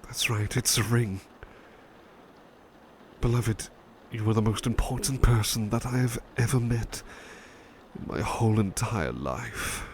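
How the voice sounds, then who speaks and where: A man playing a devoted, humble butler in an ASMR roleplay, speaking softly and tenderly with halting, repeated words. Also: fairly low pitch, slight background noise. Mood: nervous, excited. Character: devoted, gentle, humble male butler sweetheart. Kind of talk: ASMR roleplay, personal attention.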